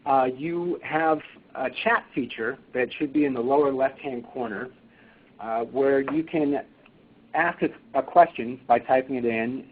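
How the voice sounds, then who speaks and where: A man moderating a webinar, speaking haltingly with many fillers and restarts. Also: mid-range voice. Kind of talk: webinar presentation. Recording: tinny phone line.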